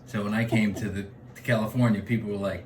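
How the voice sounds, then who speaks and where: A man's voice: fairly low pitch, fast talker, a little hiss or hum. A middle-aged man from New York with a New York accent, talking in a casual comic monologue and jumping between topics. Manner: animated, digressive, slips into voices. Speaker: vlogger.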